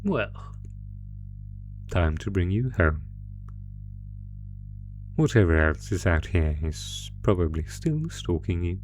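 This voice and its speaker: A man's voice: deep voice, big pitch swings, slow pace, long pauses, a little mellow, soft background music, heavily acted. A man voicing an ancient, possessive monster captor in a horror roleplay, gloating with menacing, mock-tender teasing. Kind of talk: horror roleplay audio acting.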